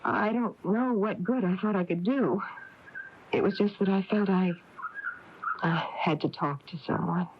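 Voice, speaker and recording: A woman's voice: mid-range voice, measured pace, close to an everyday voice. An actress playing a worried, well-meaning small-town woman in a radio drama, hesitant and confiding. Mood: apologetic, worried. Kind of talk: 1980s radio drama acting. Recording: dull, noisy AM radio recording.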